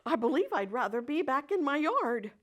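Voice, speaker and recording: A woman's voice: high-pitched, sing-song, voice pushed up. A woman voicing a timid, hesitant young male pig. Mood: worried. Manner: hesitant, slow and unsure.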